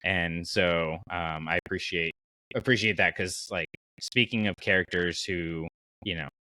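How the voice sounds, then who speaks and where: A man's voice: deep voice, fairly even intonation, a little slow, pushed and bright, no echo, rough recording. A man hosting a podcast in a casual, enthusiastic and conversational way. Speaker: podcast host.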